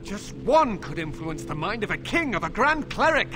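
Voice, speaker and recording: Tormented, high-pitched young knight. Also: male voice, sing-song, music in the background. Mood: grim pleading. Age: young adult. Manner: anguished, halting, pleading. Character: tormented, defiant young knight, suspicious and bitter from captivity. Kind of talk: dramatic video game voice acting.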